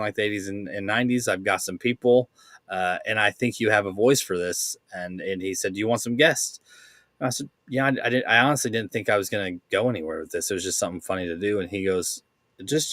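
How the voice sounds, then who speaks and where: A man co-hosting a podcast in casual chat, relaxed and quick to laugh, telling stories with many fillers. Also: lowish voice, no echo, clean studio sound. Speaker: podcast co-host.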